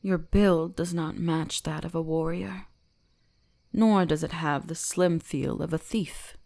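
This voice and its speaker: Female performer as centuries-old fox-spirit shrine guardian, ASMR roleplay. Commanding, deliberate, strong vocal fry, slow delivery. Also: low-pitched, exaggerated voice. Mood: fascinated, possessive. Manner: slow, deliberate, imperious, teasingly gentle. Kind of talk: scripted ASMR roleplay addressed to the listener.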